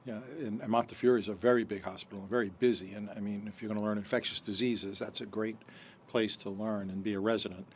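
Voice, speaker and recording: A man's voice: on the low side, fairly even intonation, fast talker, slight background noise. A man hosting a radio health talk show, asking rambling questions with many uhs. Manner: friendly, rambling questions with fillers. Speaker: radio show host, doctor.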